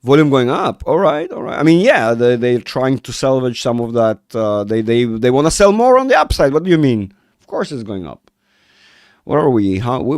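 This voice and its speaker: A man hosting a live market chat with viewers, rambling and restarting sentences, his voice rough from a cold. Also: mid-range voice, a little mellow, no room sound, clean studio sound. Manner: rambling, self-interrupting, reads chat aloud. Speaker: market analysis livestream host. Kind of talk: casual livestream chat with viewers.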